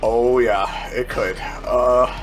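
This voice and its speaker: A man's voice: mid-range voice, steady tone, drawn-out words, soft background music. A man playing a tabletop role-playing game, thinking aloud, repeating himself and swearing casually as he talks through his moves and narrates his character.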